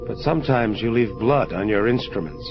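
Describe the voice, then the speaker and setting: A man playing a solemn, troubled angel of vengeance in an audio drama, speaking in formal, measured phrases. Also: fairly low pitch, animated. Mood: weary, sorrowful. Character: grave, troubled male angel of vengeance confronting his creator. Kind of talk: dramatic audio play dialogue. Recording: dull lo-fi audio under music. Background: prominent atmospheric music.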